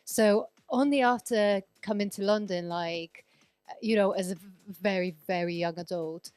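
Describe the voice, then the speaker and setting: A woman in her thirties, born in Poland and raised in Sweden, chats freely in a podcast interview. Her voice is smooth and clean. She rambles with lots of fillers, and the sound is close and dry. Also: medium pitch, normal speed, darkish tone, no room sound. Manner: chatty, rambling, many fillers and restarts. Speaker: guest DJ.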